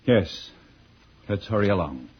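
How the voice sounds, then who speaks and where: An actor playing a grave, reassuring interplanetary official with formal phrasing in a vintage radio serial. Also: male voice, fairly low pitch, expressive, a little slow. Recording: muffled old radio recording, faint noise.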